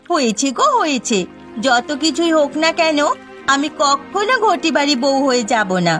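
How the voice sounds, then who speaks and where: A woman's voice: high-pitched, lively intonation, normal speed, fairly bright tone, soft background music. A woman voicing a spirited, stubborn young village woman in a cartoon, with a folksy way of talking. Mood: playful defiance. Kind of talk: cartoon dialogue.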